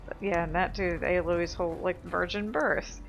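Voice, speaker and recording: A woman's voice: lowish voice, steady tone, moderate pace, gravelly, lo-fi sound, over music. A woman hosting a let's play with casual, rambling, self-deprecating game commentary. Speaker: gamer, let's play host.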